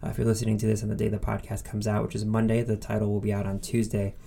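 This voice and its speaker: Male podcast host, fairly deep voice with noticeable fry, casual and keen. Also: completely flat delivery, rapid-fire, mellow. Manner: enthusiastic, informal. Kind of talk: casual podcast intro and conversational interview.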